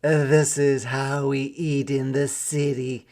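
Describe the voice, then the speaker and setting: A man's voice: mid-range voice, little pitch movement, slow, darkish tone, lightly acted. A man voicing a confident, proud female city mouse in a storybook, with a bold, bossy, teasing manner.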